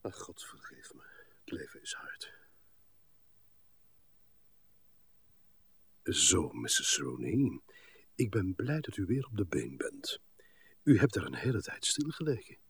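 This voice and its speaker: An actor playing an irascible, officious stationmaster with a melancholy streak in a radio play. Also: male voice, very animated, moderate pace, slightly rough, exaggerated voice. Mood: muttering, civil. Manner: swings from shrill barking to muttering.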